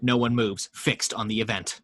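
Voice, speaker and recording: A man's voice: on the low side, normal speed, no echo. Man narrating stage directions, dry. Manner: steady reading of stage directions, voicing sound effects. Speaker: narrator reading action description. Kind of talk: table read narration.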